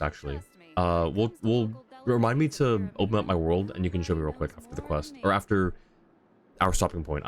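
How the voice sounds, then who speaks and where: A man hosting a casual game livestream. His voice is quite deep, and he speaks conversationally, reading viewer comments aloud and replying. Music plays faintly behind him.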